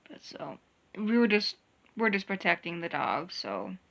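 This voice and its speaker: A young woman with a bright, forward voice. She talks casually in a couple's vlog, rambling and restarting her sentences as she goes. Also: medium pitch, very animated, measured pace, gravelly. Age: young adult. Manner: rambling, many restarts and fillers. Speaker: vlogger. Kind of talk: casual chat.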